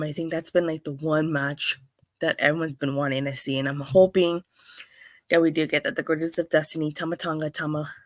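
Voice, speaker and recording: A woman with a hoarse, clogged voice from being under the weather, hosting a chatty solo review with lots of restarts. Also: deep voice, dry recording, studio quality. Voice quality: clogged, stuffy voice. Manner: chatty, rambling, with many restarts and fillers. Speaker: wrestling show reviewer, host. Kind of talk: casual solo review talk.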